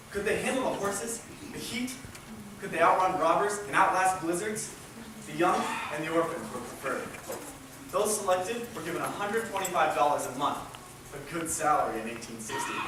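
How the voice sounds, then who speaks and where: A woman giving dramatic scripted narration in a readers' theatre group. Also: fairly low pitch. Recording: echoey hall, distant mic, loud noise.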